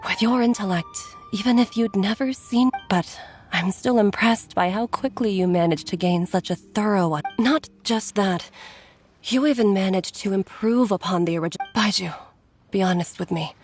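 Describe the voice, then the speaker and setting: An actress playing a gentle, regretful middle-aged mother and healer in a video game, speaking in an earnest, measured way. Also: medium pitch, faint music behind, lightly acted. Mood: calm, probing.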